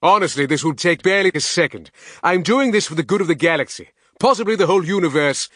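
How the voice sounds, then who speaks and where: A man's voice: expressive, fast, no echo, clean studio sound. A man voicing an eccentric, insistent male time-traveller in an audiobook reading. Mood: declaiming. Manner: emphatic, declaiming, higher and louder than the narration. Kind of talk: audiobook character voice.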